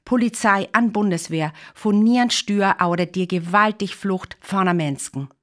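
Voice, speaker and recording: An actress playing a sober news announcer delivering grave bulletins in a radio drama. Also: female voice, medium pitch, normal speed, fairly bright tone, close to an everyday voice. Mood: grave, urgent. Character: woman news announcer soberly reporting a catastrophic invasion.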